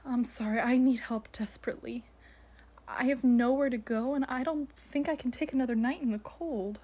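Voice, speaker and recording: A woman playing a mysterious stranger in a radio drama, speaking in a polite, measured way. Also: high voice, warm tone, lo-fi sound. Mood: pleading. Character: mysterious woman posing as applicant and desperate homeless stranger.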